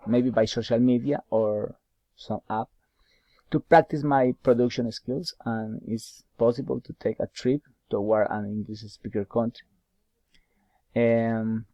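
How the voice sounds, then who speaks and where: A young adult man, a native Spanish speaker with a Spanish accent, answers study questions aloud in English. He is halting and repeats words while searching for them. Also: on the low side, dark tone, no echo, lo-fi sound. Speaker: university English student.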